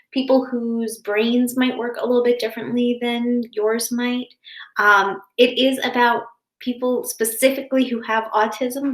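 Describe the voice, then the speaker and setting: A woman with a fairly high voice speaks to young children as a school educator would. She keeps her explanations simple and gentle, chatting and reassuring as she goes. The sound is clearly echoey, like a home office with bare walls. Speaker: school educator reading stories to children online.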